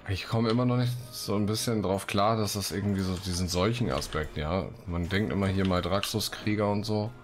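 A man with a deep voice gives relaxed, informal let's play commentary as host. He talks close to the microphone, with game music faintly behind him. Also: a little fast, hardly any pauses. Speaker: gaming video host. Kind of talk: casual let's play commentary, reading quests aloud.

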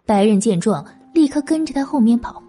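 Woman narrating historical fiction, soft-toned and slightly high. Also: animated, fairly quick, slightly rough, faint music behind. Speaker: audiobook narrator. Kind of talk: scripted dramatic narration of a historical novel.

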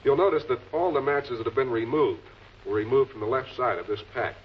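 Male prosecutor played by a male actor, vintage crime drama. Authoritative, deliberate delivery, dull noisy film audio. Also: mid-range voice, lightly acted. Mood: reasoning. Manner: measured, commanding, deliberate. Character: composed, authoritative male public prosecutor cornering murder suspects. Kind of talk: 1940s television crime drama acting. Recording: muffled, noisy old film soundtrack.

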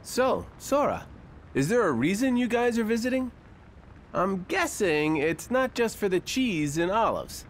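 An actor playing a friendly, confident young male hero in an animated fantasy game, earnest and lightly wry. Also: sits high, a little slow, slight background noise.

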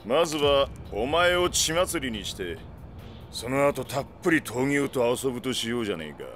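An actor playing a menacing yakuza boss in a video game cutscene, taunting and swaggering with explosive outbursts. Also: male voice, medium pitch, very animated, moderate pace. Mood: taunting threat. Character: menacing, sneering male yakuza boss with a gun. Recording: loud music behind.

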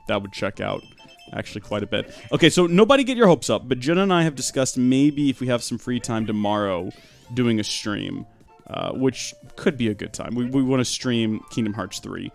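A young man giving rambling, deadpan joking commentary while streaming a video game live. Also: medium pitch, creaky.

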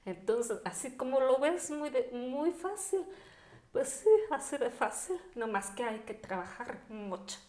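A woman's voice: high-pitched, expressive, measured pace, a little mellow. A woman in her thirties with a Mexican Spanish accent, telling personal stories emphatically as a podcast guest. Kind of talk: conversational interview, personal storytelling. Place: indoor dining room. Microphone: podcast microphone on table stand. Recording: echoey room.

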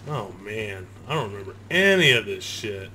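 Animated man commentating a video game, noisy background. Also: lowish voice, normal speed. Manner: informal, profane, talking to himself. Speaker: gamer recording a playthrough. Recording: clear background noise, game ambience.

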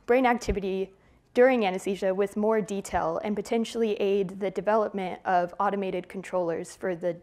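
A young woman in her twenties presents her research from a lecture hall podium. She speaks in a clear, measured lecturing manner into a podium microphone, with a mid-range voice. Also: mid-range voice, fairly even intonation, darkish tone. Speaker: PhD student presenting research. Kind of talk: conference talk.

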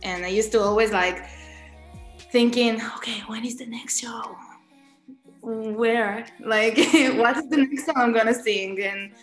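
A young woman from Israel, Hebrew her first language, speaking English with an Israeli accent, answering interview questions softly with many ums over a video call from her living room. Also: a little high, measured pace, reverberant, soft background music. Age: young adult. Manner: soft-spoken, with frequent ums and laughs. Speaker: guest singer.

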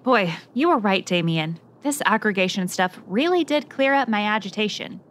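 A woman's voice: medium pitch, expressive, normal speed, a bit bright, a little hiss or hum, natural voice. A woman playing an eager investigative reporter in a noir radio drama. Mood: relieved.

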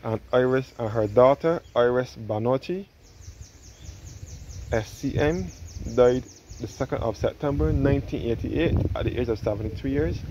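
A man's voice: medium pitch, moderate pace, mellow. A man narrating an informal walking tour outdoors, often repeating and restating his phrases as he explains. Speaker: travel vlogger giving a walking tour. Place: outdoors in a churchyard on a cliff. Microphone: handheld phone camera, held by the speaker. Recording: dull lo-fi outdoor phone audio, background noise.

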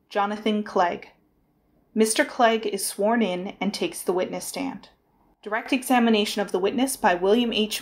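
A woman delivering formal scripted narration as the narrator of a trial reading. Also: medium pitch.

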